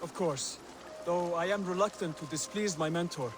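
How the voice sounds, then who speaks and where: An actor playing an earnest, devout assassin scholar in a video game, speaking in a formal, measured and solemn way. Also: male voice, high-pitched, background noise, close to an everyday voice. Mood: candid, subdued. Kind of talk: scripted dramatic game dialogue.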